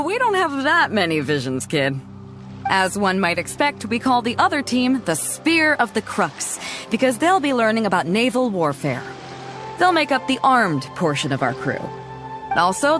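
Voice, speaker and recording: Confident pirate captain lady, high lively voice, hearty and bossy, quiet music behind. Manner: hearty, commanding, teasing. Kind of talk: video game cutscene dialogue.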